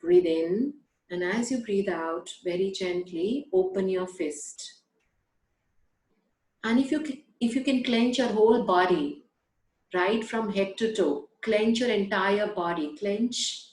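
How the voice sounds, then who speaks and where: A woman guiding a breathing and letting-go meditation, giving slow, soothing instructions with long pauses. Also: medium pitch, a little mellow. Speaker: meditation guide. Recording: echoey room.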